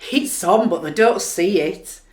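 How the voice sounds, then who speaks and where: A woman's voice: fairly low pitch, animated, measured pace, a little mellow. A woman playing a dismissive, sardonic sceptic in a radio play, pointed and needling. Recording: echoing room, faint music underneath.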